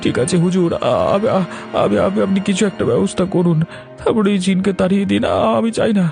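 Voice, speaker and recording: Man as a high-voiced stammering timid father. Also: steady tone, fairly quick, vocal fry, darkish tone, soft background music. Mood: anxious pleading. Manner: stammering, hesitant. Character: timid, anxious village father. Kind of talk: Bengali cartoon voice acting.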